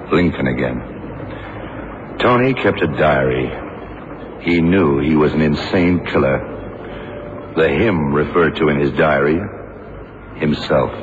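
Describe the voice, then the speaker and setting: An actor playing a grave, shrewd blind detective in a 1970s radio drama, speaking in a deliberate, terse and dramatic way. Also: male voice, very deep voice. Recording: muffled old radio recording, clear noise.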